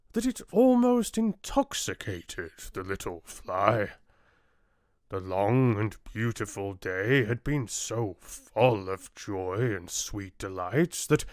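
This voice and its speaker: A man performs an ancient tree-giant telling a storybook fairy tale. He speaks extremely slowly and solemnly, with wide, gentle swells of pitch. The sound is close and dry. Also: dry recording. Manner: slow, deliberate, measured. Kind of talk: audiobook fairy tale narration.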